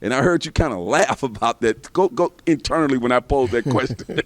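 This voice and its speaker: A man's voice: medium pitch, lively intonation, a little fast, no room sound. A man hosting and moderating a TV panel discussion, asking questions in a casual, conversational way. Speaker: TV host and moderator.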